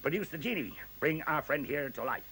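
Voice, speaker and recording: A man's voice: a little high, expressive, fairly quick, a bit bright. An actor in a radio play voicing a conceited male professor with a blunt, dry and precise manner. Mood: wry. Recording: faint hiss on old radio recording.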